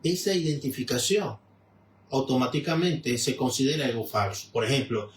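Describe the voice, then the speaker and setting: Man, measured explanatory teaching, distant mic, echoey room. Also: medium pitch, lively intonation, slight background noise. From Venezuela. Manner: measured, explanatory, many restarts. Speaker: religious lecturer reading and commenting. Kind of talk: spoken reading with commentary.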